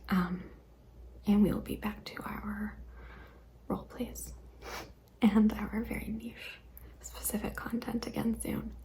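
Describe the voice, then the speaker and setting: A young adult woman hosting an ASMR questionnaire video, speaking mostly in a hesitant whisper with frequent ums and soft laughs. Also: medium pitch, steady tone, slow, long pauses. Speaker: ASMR channel host.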